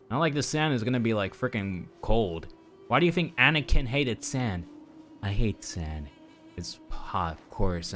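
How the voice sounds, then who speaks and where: Lively male game streamer, rambling playfully. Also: mid-range voice. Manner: rambling, playful, addresses viewers as boys. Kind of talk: casual live gameplay commentary. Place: indoors, live stream of a video game. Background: game music.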